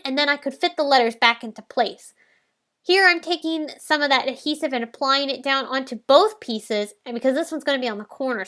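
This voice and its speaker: High bright nasal woman with a cold. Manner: calm explanatory walkthrough. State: stuffy from a head cold, nasal. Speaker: card-making tutorial host. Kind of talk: step-by-step crafting voice-over.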